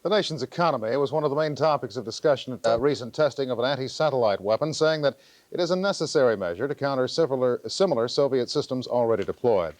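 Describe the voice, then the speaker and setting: A man's voice: mid-range voice, expressive, quick pace, a little mellow, dry recording. A man co-anchoring a television newscast with scripted studio delivery. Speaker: news co-anchor. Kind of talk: scripted TV news reading with brief co-anchor banter. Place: TV news studio.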